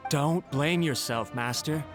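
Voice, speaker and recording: Casting: a friendly, reassuring servant, a bit higher voice, game dub. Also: male voice, slow, over music. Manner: gentle, reassuring. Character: loyal friendly young retainer, man. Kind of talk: video game voice acting.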